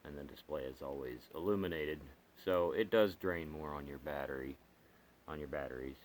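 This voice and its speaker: Very deep-voiced man giving casual gear demo. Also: a little hiss or hum. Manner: halting, rambling explanation with pauses. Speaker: hobbyist product reviewer. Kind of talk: casual unscripted gear demonstration.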